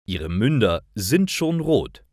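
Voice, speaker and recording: A man reading scripted stories for language learners with careful, expressive enunciation. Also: on the low side, slow pace, dry recording, clean studio sound. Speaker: narrator of stories for German learners.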